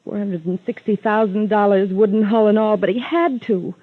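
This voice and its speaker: An actress playing a frightened, pleading young secretary in a 1950s radio melodrama, with a breathy, hesitant delivery. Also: female voice, medium pitch, moderate pace, lightly acted. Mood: anxious, earnest. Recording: tinny, roomy vintage radio recording.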